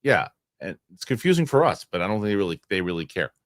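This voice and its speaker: A man with a lowish voice that swings up and down a lot hosts a casual podcast. He talks in a rambling, animated way and keeps stopping to restart his sentences. The sound is dry and close. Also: fast talker, dry recording. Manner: animated, rambling, self-correcting with repeated starts. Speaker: show host.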